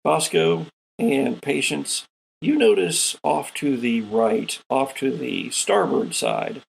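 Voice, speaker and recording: Male game master, heavily fried and raspy voice, slow-paced descriptive narration for a tabletop adventure. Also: medium pitch, very animated, rough recording. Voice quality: gravelly, husky.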